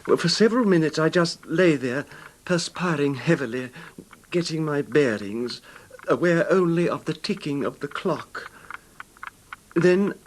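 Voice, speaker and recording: An actor playing an older wealthy English husband, haltingly recounting his betrayal in an anguished, formal manner in a radio drama. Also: fairly high pitch. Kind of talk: 1970s radio drama acting, narrating a confession. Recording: noisy 1970s radio drama recording.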